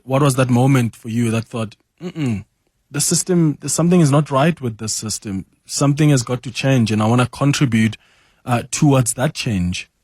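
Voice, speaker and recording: A man's voice: mid-range voice, a little mellow, dry recording, studio quality. Male radio host asking probing questions. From South Africa. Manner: attentive, backchannels then asks probing questions. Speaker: radio talk show host. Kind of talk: interviewing a guest. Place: radio studio.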